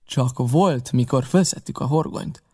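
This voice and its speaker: A man voicing a scheming older male pirate cook who talks in a wheedling, boastful, flattering way, in an audiobook reading. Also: medium pitch, husky. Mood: sly boasting.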